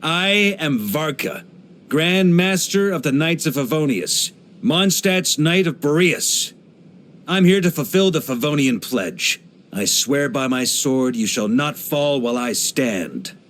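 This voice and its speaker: An actor playing a genial, laid-back middle-aged knight commander in a video game, with a theatrical, easygoing manner. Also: male voice, fairly high pitch, expressive, takes it slowly, fairly bright tone, slight background noise. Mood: proud, steady. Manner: jovial, laid-back, theatrical, given to chuckles. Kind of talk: English video game character voice lines.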